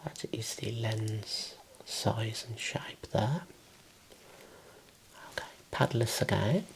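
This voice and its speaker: A man in his thirties whispering gently and soothingly in an ASMR roleplay as an optician, close to the mic in a small room. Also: fairly low pitch, takes it slowly, gravelly. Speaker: ASMR performer playing an optician.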